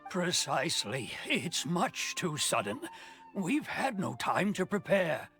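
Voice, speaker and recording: A man's voice: a little high, big pitch swings, takes it slowly, a bit bright, soft background music, full character voice. A man voicing a concerned, earnest villager in a video game, urging in a pleading way. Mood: worried, pleading.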